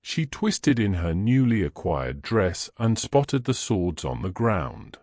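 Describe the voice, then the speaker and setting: A man's voice: fairly low pitch, big pitch swings, takes it slowly, slight background noise. A man narrating a scripted fantasy story as its storyteller.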